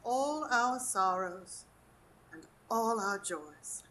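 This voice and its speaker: A woman playing a grieving, gentle elderly Edwardian mother and grandmother in a live radio drama. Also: medium pitch, expressive, extremely slow, heavily acted, rough recording. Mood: wistful, sorrowful. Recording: live stage recording, faint ambient noise.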